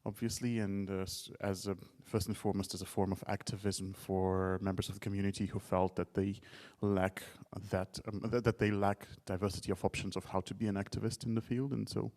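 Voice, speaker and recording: Gravelly man from Poland, halting. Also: deep voice, flat delivery, darkish tone. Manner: halting, repeats words. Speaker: panelist, choir board member. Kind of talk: roundtable introduction. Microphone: handheld mic.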